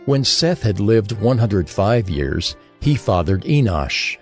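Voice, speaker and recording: Man, lowish voice, lively intonation, scripted scripture narration, music behind. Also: slow.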